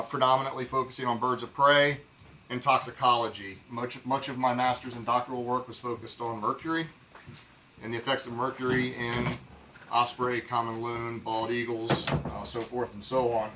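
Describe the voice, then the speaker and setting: A man's voice: mid-range voice, a bit bright, recorded from a distance, slight background noise, rough recording. A man giving a conference talk as a field biologist, plainspoken and informal.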